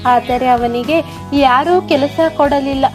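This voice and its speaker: A woman's voice: high-pitched, slow. A woman reading a scripted moral story as a storyteller. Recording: music behind the voice. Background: music.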